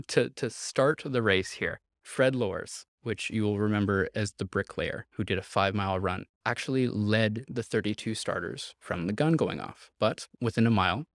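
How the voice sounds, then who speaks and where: Low-voiced man with wry chuckles. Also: normal speed, hardly any pauses, no room sound, clean studio sound. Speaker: podcast host telling a history story. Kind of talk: casual comedic storytelling.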